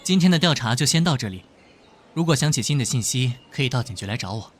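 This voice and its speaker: A man's voice: medium pitch, expressive, quick pace, slight background noise, lightly acted. A man playing an earnest, hot-tempered young police detective in a comic drama dub. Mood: polite, official. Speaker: character voice in a dubbed comic drama.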